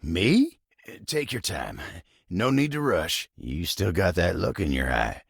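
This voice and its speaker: A man voicing a gentle, lovestruck living table in a video game, with a gravelly, husky Southern American drawl and a bashful, earnest, folksy manner. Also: sits low, very animated, slow pace, dry recording, clean studio sound, exaggerated voice. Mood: tender, easygoing. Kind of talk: video game character acting.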